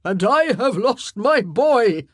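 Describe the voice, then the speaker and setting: A woman's voice: mid-range voice, animated, normal speed, a little mellow, no room sound, pitched above the performer's own voice, lightly acted. A woman voicing a self-pitying middle-aged king, soothing one moment and icy the next, in a dry, close recording. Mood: regal.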